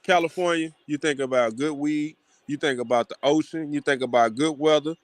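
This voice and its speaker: A man from Arkansas with a high-pitched voice, arguing bluntly and swearing freely in a heated group debate. The sound is dry and close, with no room around it. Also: fairly quick, dry recording. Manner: blunt, profane, mocking. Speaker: podcast co-host.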